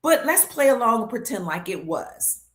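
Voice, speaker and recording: A woman's voice: fairly high pitch, big pitch swings, a bit bright. A woman hosting her own show, delivering an emphatic monologue to viewers, leaning on key words and stacking them in lists. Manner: leans hard on key words, slows for stress. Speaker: show host. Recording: roomy echo.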